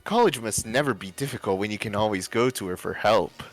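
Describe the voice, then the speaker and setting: Older rich socialite woman, played by a male reader: gushing politeness with a vicious edge, highly animated pitch, story narration. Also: fairly quick, soft background music. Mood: prying, falsely polite. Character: older affluent socialite woman, falsely sweet, condescending.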